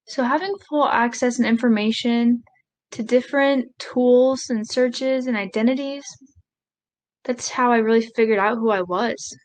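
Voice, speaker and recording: A woman voicing a live sign language interpretation of a speech, halting, with pauses and self-corrections. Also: on the high side.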